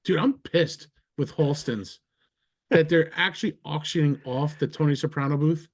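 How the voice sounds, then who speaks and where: Blunt New guy, slightly high voice. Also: normal speed. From northern New Jersey. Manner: blunt, profane, wisecracking. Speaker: podcast guest. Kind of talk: casual podcast chat.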